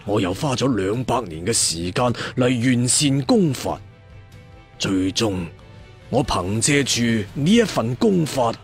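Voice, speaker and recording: A man acts out an ancient, proud cultivation master in a Cantonese storybook tale. He speaks very slowly and solemnly, with drawn-out declamatory phrases and swinging pitch, over music. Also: very animated. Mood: solemn, reminiscing. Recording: music behind the voice.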